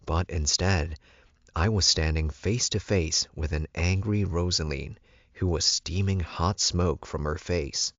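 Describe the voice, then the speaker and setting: A man's voice: sits low, measured pace, a bit bright, dry recording. A man reading scripted first-person fiction as an audiobook narrator.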